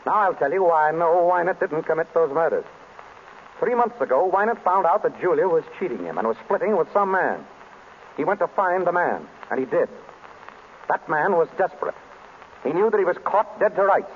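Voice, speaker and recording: Suave sleuth played by a man, breezy and authoritative, very animated delivery, 1940s-style radio drama with audible hiss. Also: on the high side, brisk, natural voice. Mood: measured, accusing. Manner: breezy, urbane, in command. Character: suave, wry male detective hosting a dinner of suspects. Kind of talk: old-time radio drama acting. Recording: noisy, tinny vintage radio broadcast.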